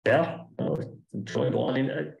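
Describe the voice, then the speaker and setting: A man's voice: mid-range voice, moderate pace, gravelly, darkish tone. Guest speaker: man, German accent, easygoing and rambling, roomy echo on a video call. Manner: chatty, rambling with restarts. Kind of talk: casual talk answering questions.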